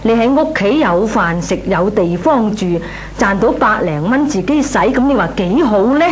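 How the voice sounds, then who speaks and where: An actress playing a well-meaning, exasperated middle-aged aunt in a Cantonese radio comedy, sighing and coaxing, with a Hong Kong Cantonese accent. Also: female voice, medium pitch, lively intonation, moderate pace, natural voice. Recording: noisy old radio recording.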